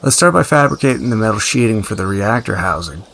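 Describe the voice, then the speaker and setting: An actor playing a practical, blunt but uneasy survivor and tinkerer in an audio drama, talking in a halting, gruffly casual, profane way. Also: male voice, on the low side, lively intonation, fairly bright tone, full character voice. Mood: businesslike. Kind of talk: audio drama dialogue, naturalistic.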